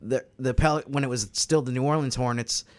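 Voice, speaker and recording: A man with a medium-pitched voice talks sports as a podcast panelist. He lays out his reasoning in a casual, analytical way. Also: steady tone, a little fast.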